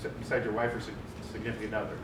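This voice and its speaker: A man with a loud voice giving a chatty panel talk and putting questions to the audience. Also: fairly low pitch, monotone, rapid-fire. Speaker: panel speaker. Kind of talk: conference presentation. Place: large office meeting room. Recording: echoey room, distant mic, noisy.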